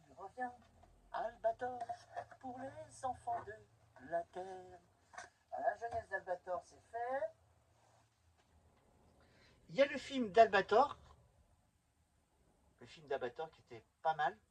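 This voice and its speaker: A man's voice: sits high, sing-song, slow, pauses often, darkish tone, slight background noise, rough recording. A middle-aged man chatting enthusiastically and informally to viewers as he presents a hobby vlog. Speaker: video presenter showing a collection.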